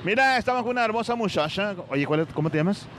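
A man's voice: high-pitched, animated, fast, noisy background. A man interviewing people on a city street, chummy and teasing.

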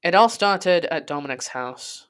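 A woman's voice: on the low side, animated, measured pace, fairly bright tone, smooth. A woman reading a scripted first-person story in a literary, dramatic storytelling manner. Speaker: podcast host and narrator.

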